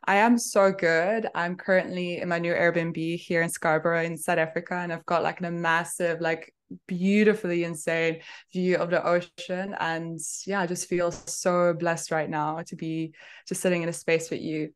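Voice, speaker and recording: Effusive Belgian-born woman, lower voice. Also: little pitch movement, normal speed, clear, resonant tone. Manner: warm, effusive, spiritual wording, soft laughs. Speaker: astrologer and business mentor, guest. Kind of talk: casual interview chat.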